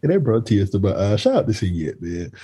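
A man's voice: fairly low pitch, sing-song, brisk, dark tone, slightly rough. A man hosting a podcast in casual, slangy chat, playful and teasing with his co-hosts. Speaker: podcast host and creator.

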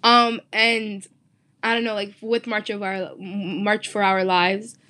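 An earnest young woman hosts a student discussion show. Her voice sits in a steady, narrow pitch band. The recording is close and dry. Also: takes it slowly, dry recording. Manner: earnest, asks the panel questions. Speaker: host.

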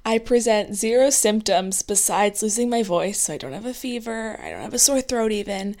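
A woman's voice: mid-range voice, a little mellow. Young woman co-host losing her voice. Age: 20s. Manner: chatty, apologetic, quick asides. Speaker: podcast co-host. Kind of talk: casual podcast chat.

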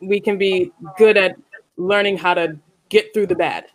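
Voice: mid-range voice, steady tone, normal speed, dry recording, poor audio. A moderator on a panel discussion, chatty and self-deprecating while asking a question.

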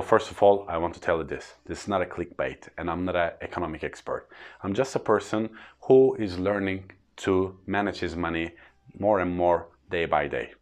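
A man in his thirties with a Turkish accent, explaining personal finance in an earnest, plain way to camera. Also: deep voice. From Turkey. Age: 30s. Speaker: freelance trainer.

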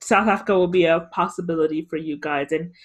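A woman hosting a casual podcast chat, speaking in a slow, reflective way. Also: deep voice. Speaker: podcast host.

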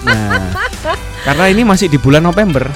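A man's voice: on the high side, big pitch swings, brisk, loud music behind the voice. A man explaining business strategy on a radio talk show, sprinkling in English terms and checking in with "ya". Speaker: marketing expert guest. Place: radio studio. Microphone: close studio mic.

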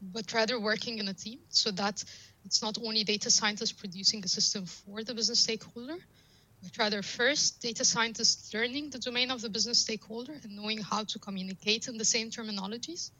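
A woman's voice: fairly high pitch, fairly even intonation, fairly quick, sharp tone, poor audio. A woman whose first language is Arabic, explaining her work earnestly as an interview guest, often through analogies. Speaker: guest, data science professional.

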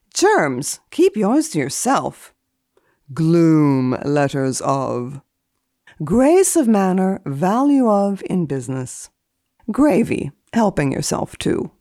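Female guest columnist, low-pitched and mellow, deadpan and theatrical, close dry sound. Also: slow, no echo. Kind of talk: dramatic reading of a book index and deadpan commentary.

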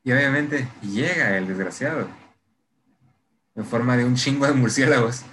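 A man's voice: mid-range voice, normal speed, fairly bright tone. A young man with a Mexican accent co-hosting a podcast, casually recapping a show with heavy slang and swearing. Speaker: podcast co-host. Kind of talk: casual chat recapping a TV series.

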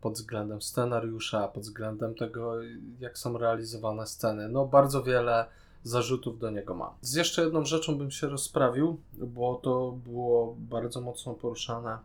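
A man's voice: mid-range voice, steady tone, a little slow. A man co-hosting a casual podcast discussion, giving opinionated, critical commentary. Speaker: podcast co-host.

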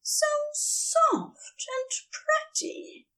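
A swooning, doting angel husband, put on by a woman reading the story, his voice lifted well above her own and drawn out slow and breathy. Each word lingers as if savoured. He sounds dazzled by his husband and never hurries. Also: extremely high pitch, lively intonation, bright, forceful tone, voice pushed up, heavily acted, low-quality recording. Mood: adoring.

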